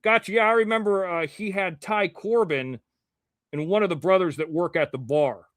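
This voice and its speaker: A man from Ohio hosting a live show, chatting casually and reading the chat, rambling and swearing now and then in clean, crisp diction. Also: high-pitched, moderate pace, no echo, clean studio sound.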